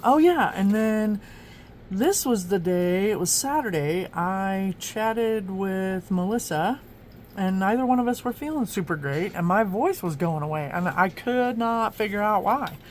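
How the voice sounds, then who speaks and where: Woman from Oklahoma, mid-pitched, loose and chatty, filler-heavy, faint background hiss. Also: expressive, unhurried. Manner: chatty and rambling, many ums and restarts. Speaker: journaling vlogger. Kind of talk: casual narration flipping through a journal.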